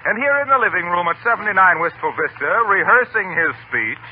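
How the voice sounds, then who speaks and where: A man's voice: high-pitched, sing-song, fast, a little hiss or hum. An American man announcing a scripted comedy show in 1940s radio style. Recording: dull 1940 radio broadcast recording.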